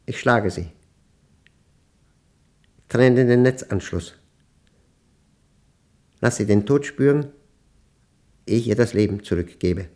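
A man acting a brooding mathematician in a German radio play, reading his diary in terse, deliberate, measured fragments. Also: mid-range voice, animated, darkish tone. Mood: obsessive, controlled.

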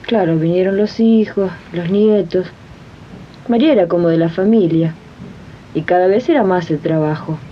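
A woman's voice: normal speed, slight background noise, close to an everyday voice. A woman playing an assertive, friendly housemaid in an amateur radio drama, speaking earnestly with an Argentine accent. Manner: earnest, explanatory, addresses listeners as comrades. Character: assertive young housemaid and union-minded worker, friendly. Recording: dull, rumbling archive radio tape.

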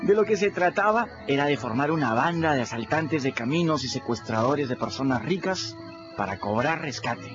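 A man plays a scrappy boy telling his own adventures in a radio drama. He is confiding and chatty, with lively swings in pitch. The sound is a bit muffled, over music. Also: normal speed, close to an everyday voice. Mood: candid storytelling. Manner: conversational, confiding, addresses listeners directly. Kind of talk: first-person radio drama narration.

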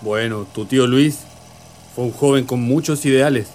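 A man plays a Chilean working father in a radio drama. His mid-pitched voice is fond and everyday, heard over engine noise. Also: normal speed, a little mellow, close to an everyday voice. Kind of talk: amateur radio drama acting. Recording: engine and vehicle noise behind.

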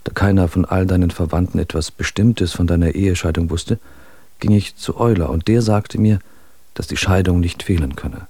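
Gossipy letter writer in a radio play, low mellow raspy voice, matter of fact. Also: male voice, moderate pace, dry recording. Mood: gossipy, reporting. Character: gossipy male correspondent reporting family scandal. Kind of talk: radio drama letter reading.

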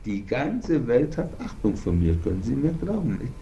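An actor playing an aging, unrepentant Nazi war criminal, boastful and bitter, rambling haltingly in a gravelly voice. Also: male voice, mid-range voice, very animated, warm tone, slight background noise. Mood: bitter, defiant. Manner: rambling, halting, self-justifying, repeating himself.

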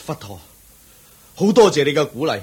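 An actor playing a poor, humble and excitable devout villager and husband in a Hong Kong Cantonese radio drama. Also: male voice, fairly high pitch, normal speed, husky, exaggerated voice. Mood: reverent, eager. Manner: deferential, excitable, chuckling and sighing.

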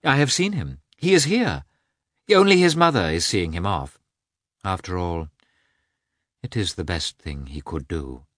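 A man's voice: sits low, very animated, a little slow, pauses often, a bit bright, dry recording, studio quality, exaggerated voice. A man voicing an eager, gossipy society princess in a classic novel, insistent and keen to share news. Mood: knowing. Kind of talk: audiobook character voice in a classic novel.

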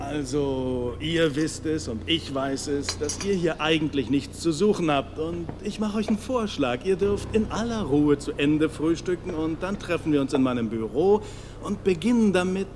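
Ein Mann spielt in einem deutschen Hörspiel einen ernsthaften, geduldigen Vater und Geistertherapeuten. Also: male voice, fairly high pitch, close to an everyday voice. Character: earnest, patient middle-aged father, a ghost therapist. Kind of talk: German audio drama acting. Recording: clear background noise.

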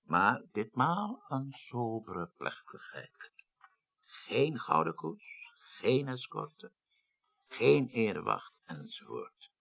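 A man acting a formal, fatherly older parliament chairman in a Dutch radio drama, with a Netherlands accent and deliberate, wordy diction. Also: medium pitch, huge pitch swings, gravelly, a bit bright, breathy edge, exaggerated voice. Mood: grave, official.

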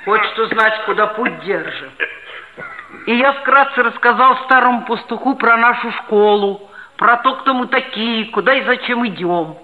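An actor playing an earnest, self-assured schoolboy, a geologist's son, who narrates and talks in a measured way in a 1960s radio play. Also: mid-range voice, narrow, tinny audio, natural voice. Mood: friendly, confident. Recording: band-limited 1960s radio play recording.

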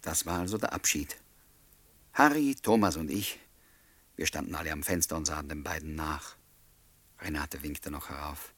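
A kindly lodger in a German radio play. His voice is very low. He speaks in a wry, reflective way with an understated touch of wistfulness. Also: moderate pace, long pauses, fairly bright tone, dry recording. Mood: wistful, fond. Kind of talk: German radio play acting and first-person narration.

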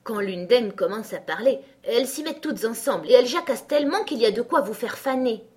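A woman's voice: a little high, lively intonation, fast talker, darkish tone, voice pushed up, lightly acted. A woman voicing a proud, short-tempered tiger lily in a children's storybook, with a commanding, scolding manner. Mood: bossy.

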